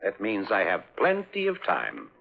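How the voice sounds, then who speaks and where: An actor playing a cold-blooded, taunting hired killer in a 1950s hardboiled radio drama. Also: male voice, on the low side, wildly swooping pitch, unhurried, vocal fry, exaggerated voice. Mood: cold menace. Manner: taunting, threatening. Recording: muffled vintage 1950s radio recording.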